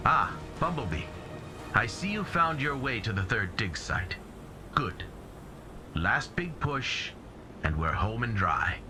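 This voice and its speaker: Game role: fatherly robot leader. Lowish pitch, expressive, solemn, encouraging delivery over music. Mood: noble encouragement. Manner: solemn, measured phrasing, encouraging. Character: noble, fatherly male robot commander. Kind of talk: video game cutscene acting.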